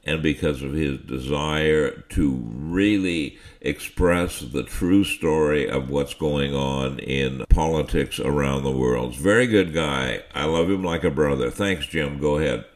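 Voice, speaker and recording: A man's voice: a bass voice, measured pace, hardly any pauses. A man hosting a radio talk show, folksy and affirming toward his guest. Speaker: radio host.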